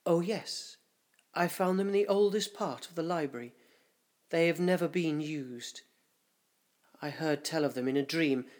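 A man voicing an ancient female councillor who speaks softly and confidingly. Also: fairly high pitch, unhurried, long pauses, close to an everyday voice. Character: one of the first female councillors, ancient and secretive.

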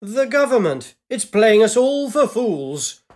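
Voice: medium pitch, lively intonation, moderate pace, natural voice. A narrator voicing a bullying, paranoid, self-important political leader who speaks in indignant, accusing outbursts for a short story. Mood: angry ranting. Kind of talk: acted dialogue in a short story reading.

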